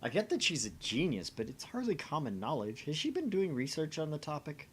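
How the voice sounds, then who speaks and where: A man reads visual novel dialogue aloud with widely swinging, animated pitch. He co-hosts in a jokey, self-deprecating and theatrical way. There is a slight rumble of noise in the recording. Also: a little fast. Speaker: co-host of a visual novel read-along. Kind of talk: animated reading of game dialogue with casual banter.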